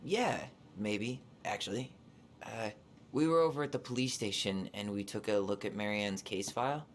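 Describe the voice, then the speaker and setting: A man's voice: on the low side, normal speed, a little hiss or hum, natural voice. An actor playing an earnest, probing young man, direct and reserved in naturalistic video game dialogue.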